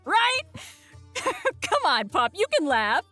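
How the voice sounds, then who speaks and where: A woman's voice: sits high, very animated, slow, bright, forceful tone, soft background music, exaggerated voice. A woman voicing a brash female predator creature with fangs, mocking and blunt in a playful way, in an audio story. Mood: amused. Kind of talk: acted dialogue in an audio story.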